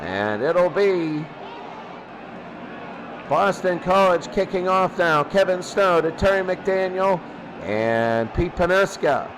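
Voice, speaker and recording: A man's voice: high-pitched, lively intonation, slow pace, background noise. A man doing football play-by-play as the announcer, often repeating his phrases. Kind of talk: amateur football play-by-play commentary.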